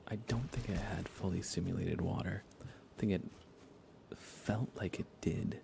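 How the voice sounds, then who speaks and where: A man speaking softly and unhurriedly, often half-whispering, as he rambles through a live ASMR gaming stream. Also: deep voice, unhurried, gravelly, background noise. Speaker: livestream host. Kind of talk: soft-spoken ASMR game livestream chat.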